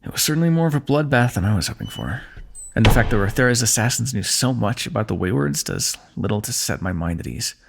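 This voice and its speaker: A man voicing a kingdom's ruler who gently chides and teases a wounded companion while tending his injury, in an intimate fantasy roleplay. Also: fairly low pitch, big pitch swings, fast, vocal fry, noisy background. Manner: gentle, chiding, teasing, close and intimate. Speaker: ASMR roleplay performer. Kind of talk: scripted fantasy ASMR roleplay.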